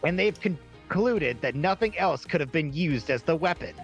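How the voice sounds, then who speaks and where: A man's voice: on the high side, animated, muffled recording, soft background music. A man voicing a proud, confrontational agent in a video game fan dub, with theatrical, emphatic delivery. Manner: theatrical, emphatic, drawn-out words. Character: proud male agent with a wolf fixation, brash and confrontational.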